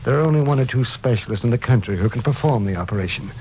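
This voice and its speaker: An actor playing a suffering, desperate man in a hospital bed, grave, halting and intense, in old radio drama style. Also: mid-range voice, animated, a little mellow, natural voice. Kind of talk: 1950s radio drama acting. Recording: noisy old radio recording.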